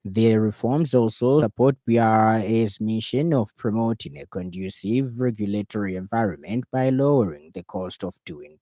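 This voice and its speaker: A man with a Zambian accent presents radio news from a studio. His voice sits fairly low and is warm and relaxed, with a dry, close sound. He reads his script formally, in measured phrases. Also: no echo.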